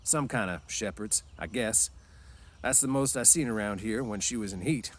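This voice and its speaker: A calm, dignified mule driver, read by a man, his low voice gentle and unhurried. He speaks quietly, with quiet authority in plain ranch talk. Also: lightly acted.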